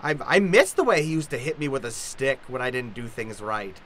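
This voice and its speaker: A chipper, morbid Cockney maid, chattering and cackling in old-time radio play style. Her pitch leaps about theatrically, with some noise behind her. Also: big pitch swings, brisk. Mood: wistful, morbid. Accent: Cockney English.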